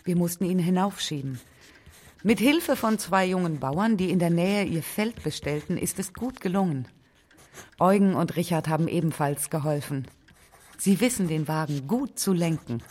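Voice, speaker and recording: An actress plays a resolute wife and mother reading her letters in an audio documentary. Her voice is on the low side. She is composed, plain and matter-of-fact. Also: normal speed, a little mellow, lightly acted.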